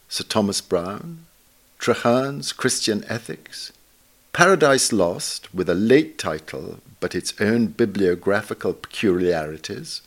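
Low-pitched man reading aloud, measured. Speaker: reader of a literary essay. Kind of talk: scripted narration, reading aloud.

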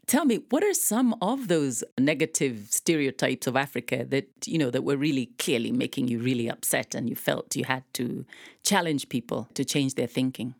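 A woman with a low-pitched voice interviews a guest on a podcast. Her phrasing is measured and encouraging, and the sound is dry and close. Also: expressive, no echo.

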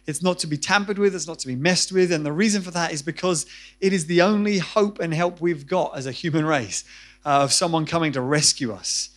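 A man's voice: on the high side, a little fast. A man preaching a sermon to his church, earnest and explanatory, then introducing guests for an interview.

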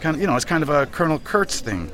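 An actor in a satirical audio drama playing a fast-talking, evasive middle-aged TV writer who stammers and repeats himself as he cajoles. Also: fairly high pitch, very animated, fairly bright tone, some chatter behind. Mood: excited pitching.

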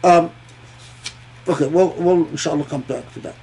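A man from Egypt and Kuwait giving an informal scholarly commentary on scripture, thoughtful and halting, often repeating words and pausing. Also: fairly high pitch, darkish tone, a little hiss or hum.

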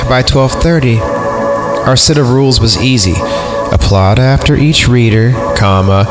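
A man hosting a horror podcast, reading a creepypasta story in a wry way with joking asides, over music. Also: on the low side. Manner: wry, breaks off to mock the text. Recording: loud music under the voice. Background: eerie film score music.

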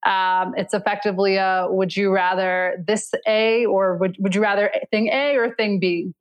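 A woman's voice: mid-range voice, level tone, moderate pace, clear, resonant tone, dull audio. A woman co-hosting a podcast, talking in an upbeat, playful way and laughing between lines as she explains a game segment. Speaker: podcast co-host.

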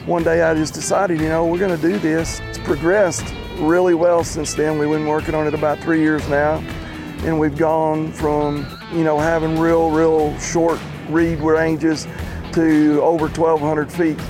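A man's voice: a little high, level tone, measured pace, music in the background. A man, a racehorse trainer, talking plainly and matter-of-factly in an interview clip.

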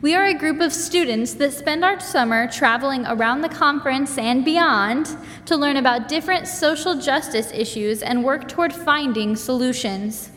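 A young woman from Kansas, a student intern, reading a prepared church announcement in a clear, bright way. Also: high-pitched, moderate pace, hardly any pauses. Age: young adult. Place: church sanctuary. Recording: reverberant hall, faint noise.

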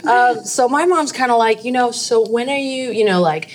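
A woman's voice: fairly high pitch, moderate pace. A woman in her twenties, a guest musician, talking casually and rambling with many restarts. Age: 20s. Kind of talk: casual conversation.

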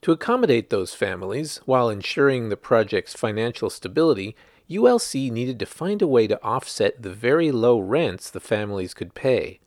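A man's voice: medium pitch, big pitch swings, normal speed, hardly any pauses, no room sound, clean studio sound. A man reading a news article aloud as a scripted narration, in the manner of a reporter presenting a written story.

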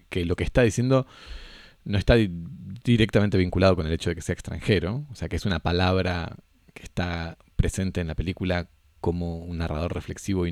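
A man talking casually about film, thinking aloud with many pauses and restarts. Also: low voice, a little mellow, no room sound. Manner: thoughtful, halting, many restarts.

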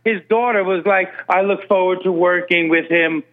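A man's voice: sits high, fairly even intonation, normal speed. A man chatting casually and comedically as a radio show guest, wry and joking, over a dull lo-fi connection. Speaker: guest, comic actor.

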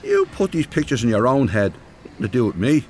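Older Irish male, radio drama: wry, roguish, very animated delivery, slight background ambience. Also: medium pitch, brisk, a little hiss or hum. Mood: dry, bantering. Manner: wry, bantering. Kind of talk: naturalistic radio drama acting.

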